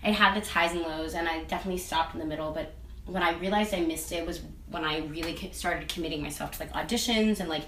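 A young woman with a lowish voice answers interview questions in loose, rambling chat, leaning on "like" and "um" as she goes. She sits back from the mic in an echoey room, with a faint hum of ambience behind her. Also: fast talker, pushed and bright, recorded from a distance. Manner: rambling, heavy use of "like" and "um". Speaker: interviewee, singer and actress.

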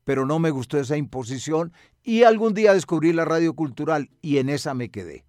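An older man from Colombia with a Colombian accent answers interview questions reflectively, in measured, articulate and rather formal language. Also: fairly high pitch, animated, a little mellow, dry recording, studio quality. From Medellín, Colombia. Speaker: cultural radio broadcaster and music researcher.

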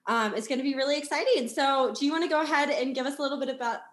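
A woman's voice: sits high, very fast. A woman podcast host interviewing a guest in a casual, conversational way. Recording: roomy, echoing sound.

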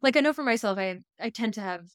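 Quiet, deep-voiced woman. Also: fast, clear, resonant tone, no room sound, clean studio sound. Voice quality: deep, quiet, fairly monotone. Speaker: podcast guest. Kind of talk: casual podcast chat.

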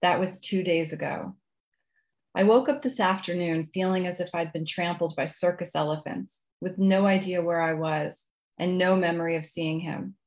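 A woman with a slightly low voice speaks as a guest author. Her manner is polite and modest. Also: fairly even intonation, moderate pace, darkish tone. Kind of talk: casual interview chat, then reading own writing aloud.

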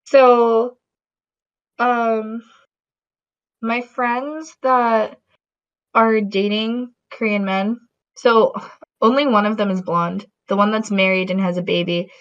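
A woman's voice: on the high side, steady tone, takes it slowly, long pauses. A woman in casual conversation who rambles, with many fillers and restarts. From Latin American heritage. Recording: dull lo-fi audio.

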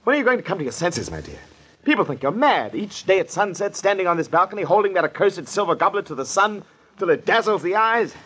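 A man's voice: high voice, sing-song, fast, a bit bright, breathy edge, full character voice. An actor playing a bitter, jealous rich man, theatrical and intense, in 1940s radio drama style. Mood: exasperated, demanding. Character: bitter, jealous wealthy villain obsessed with a woman.

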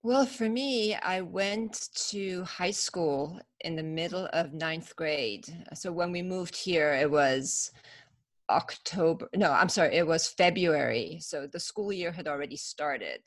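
A woman's voice: fairly low pitch, takes it slowly. A woman in her fifties from Iran, a podcast guest telling her story in a reflective, casual way with frequent fillers. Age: 50s. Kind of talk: casual interview chat.

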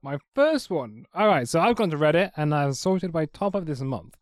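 Higher-voiced man with very lively intonation. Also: moderate pace. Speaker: podcast co-host. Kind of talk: casual comedic banter.

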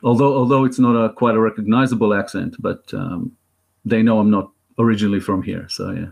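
A man in his thirties with an Israeli accent speaks as an interview guest. His voice sits a little low, and he talks in a thoughtful, halting way, often restarting his sentences. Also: steady tone, vocal fry, darkish tone. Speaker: guest filmmaker. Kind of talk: interview conversation.

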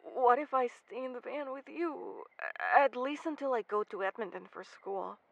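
Woman acting a gentle lovestruck young student, soft hesitant delivery, higher voice, dry close sound, audio drama. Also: very animated, moderate pace, vocal fry, no room sound, dull audio, exaggerated voice. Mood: hopeful, tender. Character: gentle, affectionate young pre-med hopeful in love.

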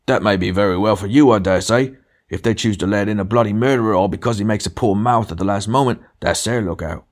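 A man's voice: lowish voice, quick pace, voice pushed up, close to an everyday voice. A man voicing a big, sulky working-class male ghost in an audiobook, self-righteous and argumentative, full of blustering grievance. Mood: aggrieved. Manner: blustering, argumentative, punctuating with demands. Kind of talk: literary audiobook character dialogue.

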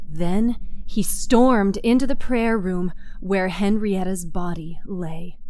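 Slow, measured woman storyteller. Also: medium pitch, a little mellow. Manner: measured, dramatic. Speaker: narrator. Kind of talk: scripted story narration.

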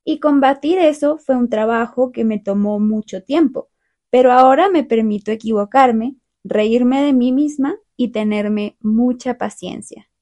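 A young woman hosting a learner podcast, talking through a calm, clear, scripted monologue. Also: fairly high pitch, a little slow. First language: Spanish. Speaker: podcast host.

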